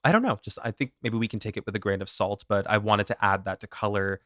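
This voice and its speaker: A man co-hosting a true crime podcast, walking through a case in a casual, animated storytelling way. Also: lowish voice, quick pace, no echo, studio quality. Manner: animated, self-deprecating, laughs at own pronunciation. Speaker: podcast co-host.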